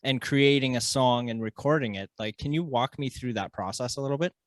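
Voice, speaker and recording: A man's voice: mid-range voice, brisk, dry recording. A man with a Canadian accent hosting a casual interview, chatting in an informal, slangy and agreeable way. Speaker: podcast host.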